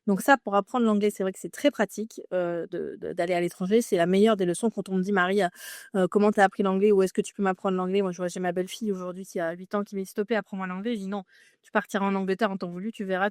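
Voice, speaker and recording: A woman's voice: mid-range voice, steady tone, fast, no echo, studio quality. A woman from France in her thirties, a podcast guest telling her story in a chatty way with many fillers and restarts. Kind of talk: casual interview conversation.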